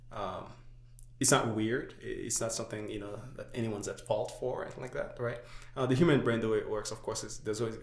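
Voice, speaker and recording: A young man from Haiti with a slight Haitian accent, a podcast guest explaining things at length in casual chat, with many "uh" and "right?" fillers. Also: fairly low pitch. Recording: echoey room.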